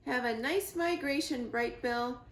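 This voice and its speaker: A woman voicing a chatty, excitable little squirrel who fusses over a friend in a bubbly, rambling way, in a storybook reading. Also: sits high, unhurried, echoey room. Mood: fond, fussing.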